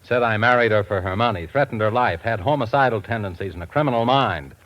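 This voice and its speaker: A man's voice: lowish voice, fast talker, lo-fi sound, lightly acted. A man playing a blunt, bitter and defensive airline co-pilot in a vintage radio drama. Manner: blunt, curt. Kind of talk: 1940s-50s radio drama acting.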